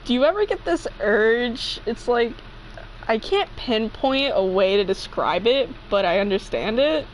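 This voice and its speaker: A woman's voice: on the high side, expressive, measured pace. A young woman bantering casually as a co-reader, playful, crude and teasing. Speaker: co-reader and commentator. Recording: faintly noisy room recording.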